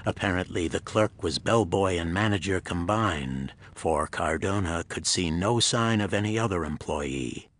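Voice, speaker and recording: A man giving scripted narration of a pulp thriller audiobook in a husky voice. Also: low voice, expressive, measured pace, hardly any pauses, fairly bright tone.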